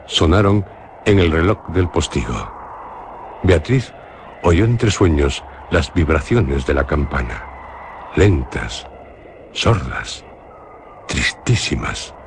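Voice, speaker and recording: A man with a Spanish accent narrates a horror radio play with an extremely deep voice. His delivery is slow, grave and measured, pausing on each phrase. A clear hiss runs under the recording. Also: expressive. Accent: Spain. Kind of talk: suspenseful radio drama narration.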